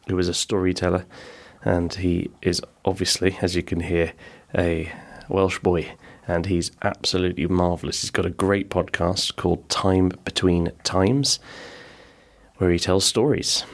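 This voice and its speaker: A man's voice: low voice, gravelly. A middle-aged man hosting a podcast, chatting casually and rambling with self-deprecating humor from his small backyard pub. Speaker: podcast host. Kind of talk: casual chat. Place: small home-built pub in a garden outbuilding.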